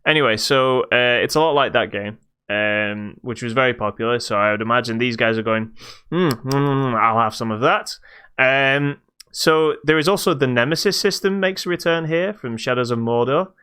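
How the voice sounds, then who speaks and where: A man with a bright, clean-sounding voice talks through video games as a podcast co-host. His delivery is casual and unscripted, with a dry wit, and every word comes across crisply. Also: medium pitch, moderate pace. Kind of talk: casual unscripted game review chat.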